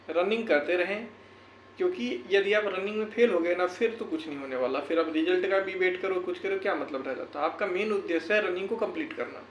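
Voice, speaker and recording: A man speaking to viewers in an earnest, exhortative way, repeating phrases for emphasis in a motivational talk. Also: high voice. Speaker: YouTuber and educator. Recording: echoey room, faint background noise.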